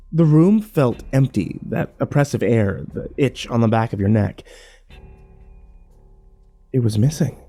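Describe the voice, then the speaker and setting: Male actor as grim uneasy survivor, mellow dark tone, measured horror storytelling, soft music behind. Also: mid-range voice. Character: grim, fearful male survivor narrating his own ordeal. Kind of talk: scripted first-person horror narration.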